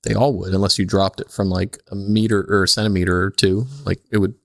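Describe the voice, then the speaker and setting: A man's voice: deep voice, flat delivery, fast, gravelly, fairly bright tone, no room sound, clean studio sound. A man co-hosting a podcast with casual, irreverent comedic banter. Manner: irreverent, swears freely. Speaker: podcast co-host.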